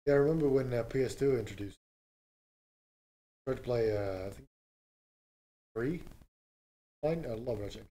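A young man with a low, buzzy voice, chatting casually and quietly as a podcast co-host. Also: normal speed, pauses often. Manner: low-key, soft-spoken. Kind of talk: casual chat.

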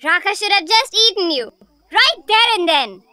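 Shrill, nagging little animal wife. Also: female voice, big pitch swings, moderate pace, bright, forceful tone, dry recording, full character voice. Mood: exasperated. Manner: scolding, melodramatic.

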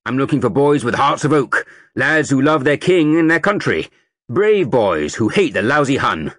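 A man's voice: mid-range voice, sing-song, moderate pace, fairly bright tone, no room sound, studio quality, voice pushed up. A man voicing a blustering middle-aged army sergeant major who whips up a crowd with a rousing recruiting speech.

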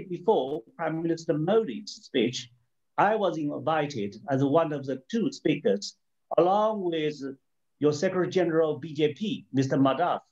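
A man from China with a Chinese accent speaks off the cuff as a webinar panelist, arguing policy in a halting, explanatory way with rhetorical questions. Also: fairly high pitch, a little mellow, highs cut off. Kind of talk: extemporaneous argument in a policy discussion.

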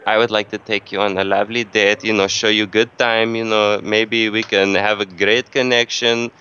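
A man puts on an aggrieved foreign male customer, rambling through a prank-call complaint. The voice is clear and forceful, a little low, with halting, repetitive and melodramatic delivery. The home recording is slightly muffled. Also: little pitch movement. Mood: flirtatious.

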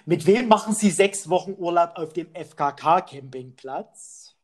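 A man's voice: on the high side, expressive, measured pace, darkish tone. A man playing a cheeky boozy pub captain. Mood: joking. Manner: playful, joking, teasing. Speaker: host. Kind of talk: comic phone interview quiz.

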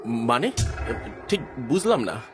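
A man's voice: mid-range voice, sing-song, slow, over loud music. A man playing a humble, grateful young village father in an audio drama, earnest and deferential in manner. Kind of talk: Bengali audio story acting.

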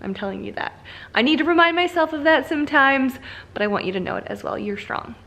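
A woman's voice: high voice, animated, fast talker, creaky, a bit bright, lo-fi sound. An American woman in her thirties chatting casually to viewers as a lifestyle vlogger inside a house. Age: 30s.

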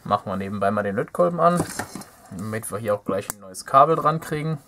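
A man's voice: on the low side, animated, fairly quick, pushed and bright, a little hiss or hum. A young man giving casual hands-on commentary as he works at an indoor bench, thinking aloud and muttering playfully to himself. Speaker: DIY electronics video host. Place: indoor workbench.

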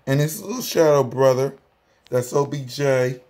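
An American man in his thirties giving a mocking, repetitive, drawn-out sports fan taunt, recorded on a phone indoors. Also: medium pitch, steady tone, mellow. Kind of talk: taunting fan vlog, casual trash talk. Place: small room indoors at home. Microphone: phone at arm's length.

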